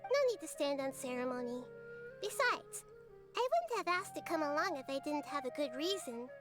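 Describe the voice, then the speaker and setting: A woman voicing a gentle, wise girl goddess in a game, talking softly and kindly, with game music behind. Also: high voice, animated, moderate pace. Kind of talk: game character dialogue.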